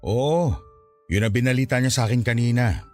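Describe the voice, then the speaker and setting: An actor playing a gentle, patient older father in a Filipino radio drama, with a mild, conciliatory manner. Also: mid-range voice, normal speed, lightly acted. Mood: puzzled, placating. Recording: studio drama with music behind.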